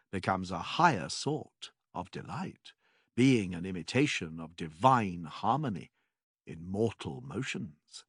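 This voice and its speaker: A man reading a classical philosophy text as scripted narration, with measured, deliberate phrasing and emphatic pauses. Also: medium pitch, fairly bright tone, no room sound, clean studio sound.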